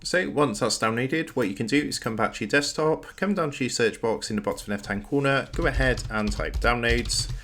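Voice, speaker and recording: A man narrating a step-by-step software tutorial as its host. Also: medium pitch, fairly quick, few pauses.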